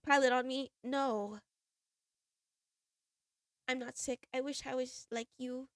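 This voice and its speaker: A woman's voice: high voice, steady tone, slow pace, no room sound, clean studio sound. A young woman streamer with a stuffy cold nose, bubbly and giggly as she chats and reads a game story aloud. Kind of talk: casual chat and reading a visual novel aloud.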